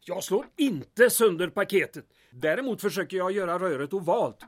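A man's voice: high voice, expressive. A man acting a put-upon town mayor in an audio drama, with a dry, sardonic manner. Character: exasperated, sarcastic male town mayor doing plumbing.

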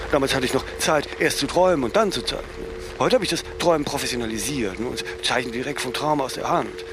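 An actor playing an imperious, self-mythologizing older fashion designer in a German radio play, speaking haughtily in aphorisms and tagging sentences with "ne?". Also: male voice, mid-range voice, creaky, noisy background, lightly acted.

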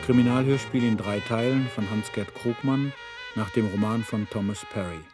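A man's voice: lowish voice, normal speed. A man announcing a radio drama's title and cast in a formal style. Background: music behind the voice.

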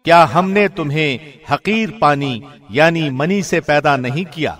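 A man reading a scripture translation in a formal, measured, solemn radio-host delivery. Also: fairly high pitch, a bit bright.

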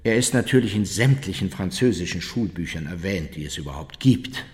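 Low, animated, boastful male ex-officer, reverberant. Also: fairly quick. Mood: boastful, self-justifying. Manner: emphatic, self-justifying. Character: unrepentant, boastful former Nazi secret police officer. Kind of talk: dramatized interview voice-over. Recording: long reverb.

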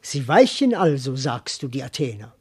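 An actor voicing a gracious, gushing princess as mimicked by a servant, in an affected courtly manner for a classical radio comedy. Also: male voice, medium pitch, sing-song, moderate pace, husky, pitched below the performer's own voice. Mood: eager.

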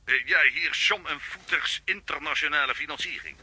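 An actor playing a slick, hesitant crooked financier who talks politely over a telephone filter in a children's audio drama. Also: male voice, on the high side, fairly quick, background noise. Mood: oily, businesslike. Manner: hesitant, oily businesslike politeness.

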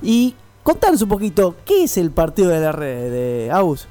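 A man's voice: high-pitched, wildly swooping pitch, moderate pace, warm tone, soft background music. A man with an Argentine accent interviewing casually on the radio, informal and thinking aloud as he rephrases. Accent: Rioplatense Argentine.

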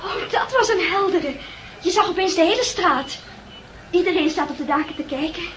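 An actress in a 1960s radio drama playing an excitable, cheerful, kindly teasing hospital nurse. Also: female voice, extremely high pitch, moderate pace. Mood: thrilled. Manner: gushing, coaxing. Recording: noisy old radio drama recording.

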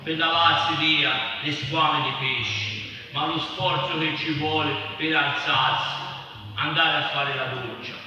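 A man's voice: fairly high pitch, steady tone, unhurried, sharp tone. A man reading literary prose aloud at a public event. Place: large echoey venue at a public festival. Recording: echoey hall from far off, background noise.

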